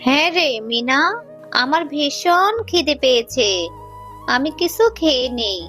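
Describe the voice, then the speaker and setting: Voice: high voice, unhurried. A performer voicing a caring, practical mother fox in a children's cartoon, over music. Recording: loud music under the voice.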